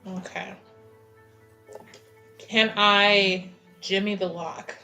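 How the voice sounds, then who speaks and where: A woman chats as a player in a tabletop game. Her pace is slow as she thinks aloud in a playful, casual way, with soft music in the background. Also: mid-range voice, little pitch movement.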